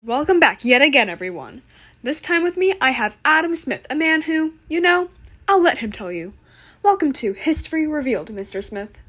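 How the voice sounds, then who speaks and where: A woman's voice: high voice, very animated, moderate pace, sharp tone, exaggerated voice. A woman playing a polite, slightly teasing talk-show host in a comedic puppet interview about history. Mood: presenting.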